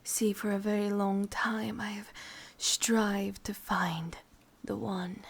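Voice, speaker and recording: A woman voicing a sadistic, possessive forest witch in an ASMR roleplay, with an English accent, half-whispering and teasing in drawn-out phrases. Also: mid-range voice, fairly even intonation, gravelly, breathy edge, exaggerated voice. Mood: sly, gloating.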